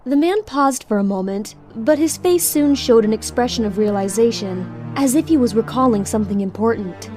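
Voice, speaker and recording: A woman's voice: a little high, lively intonation, normal speed, hardly any pauses, over music. A woman reading scripted story narration for an audio drama.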